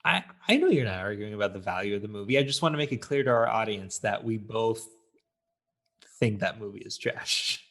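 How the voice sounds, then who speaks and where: A man's voice: lowish voice, expressive, brisk, fairly bright tone. A man co-hosting a movie review podcast, talking in a casual, opinionated way. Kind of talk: casual film discussion.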